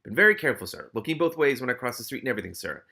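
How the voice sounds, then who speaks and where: A man voicing a wily, grumbling streetwise cat in a storybook reading, quick to hedge and bargain. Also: mid-range voice, very animated, gravelly, pushed and bright, slightly rough, full character voice. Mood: nervous, deferential. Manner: quick-fire, hedging, sardonic. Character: wily talking male cat, streetwise, grumbling, anxiously deferential.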